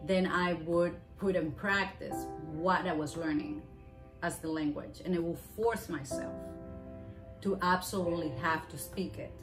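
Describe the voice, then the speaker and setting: Woman, from Venezuela, Spanish native, low voice, earnest run-on sentences, music bed. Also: steady tone, unhurried. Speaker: interviewee. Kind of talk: personal migration story. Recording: music playing under the voice.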